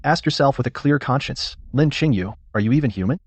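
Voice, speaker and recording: Actor as scolding male teacher, husky, emphatic, dry close sound, dubbed melodrama. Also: medium pitch, animated, brisk, no echo. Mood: outraged. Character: stern, morally outraged male teacher.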